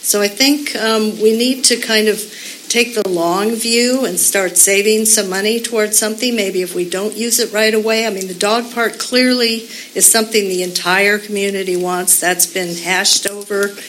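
A woman's voice: mid-range voice, steady tone, normal speed, fairly bright tone, a little hiss or hum, rough recording. A woman giving an earnest, rambling public comment at a community meeting, with many fillers.

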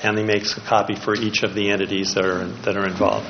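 A man with a fairly low voice gives informal answers at a public meeting. He talks haltingly, often doubling back on words. The recording is muffled, with a light layer of noise. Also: level tone.

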